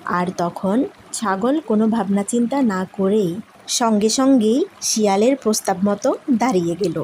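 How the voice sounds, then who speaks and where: A woman's voice: mid-range voice, moderate pace, warm tone, a little hiss or hum. A woman storyteller reading scripted moral tales for children.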